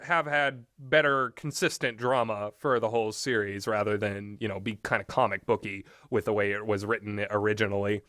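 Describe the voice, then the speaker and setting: A man's voice: mid-range voice, fairly bright tone, clean tone, no echo, studio quality. A man giving casual, rambling and opinionated commentary on video games, often hesitating as he talks. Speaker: video game reviewer.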